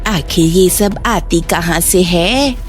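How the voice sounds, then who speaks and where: A woman's voice: lowish voice, slow pace, soft background music. A woman voicing a greedy, jealous neighbour woman in a children's moral story, with a sarcastic, melodramatic manner. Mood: sly, envious.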